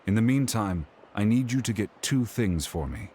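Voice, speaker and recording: An actor playing a weary, guarded young inventor in a video game, measured and explanatory in delivery. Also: male voice, fairly low pitch, expressive, mellow, slight background noise, natural voice. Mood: focused, explanatory. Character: weary, guarded young male inventor, quietly intense and knowledgeable. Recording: faint music under the voice.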